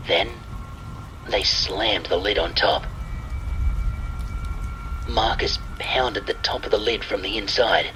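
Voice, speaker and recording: Male horror narrator, unhurried, lowish pitch, scripted spooky storytelling, faint rain behind. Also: sharp tone, slight background noise, low-quality recording. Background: rain ambience.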